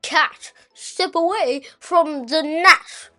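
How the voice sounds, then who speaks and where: A rule-making storybook frog, voiced by a young boy, speaking in a high voice. It is bossy and firm, handing down orders as settled law. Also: very slow, sharp tone, faint music behind, exaggerated voice. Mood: commanding. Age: child, 8 years old. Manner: firm, commanding.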